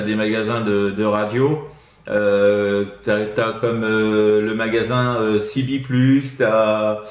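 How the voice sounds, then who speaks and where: Rambling Frenchman in a roomy echo. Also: lowish voice. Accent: French, from France. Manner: hesitant, rambling, with constant tag words. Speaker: amateur CB radio operator giving advice. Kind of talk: casual radio chat.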